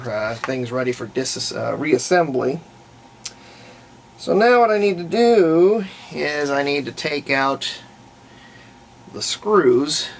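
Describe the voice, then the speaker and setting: A man giving casual, unscripted workbench commentary, thinking aloud as he works through a hobby restoration. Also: mid-range voice. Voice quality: gravelly, creaky. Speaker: hobbyist restorer presenting a repair video. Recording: clear background noise.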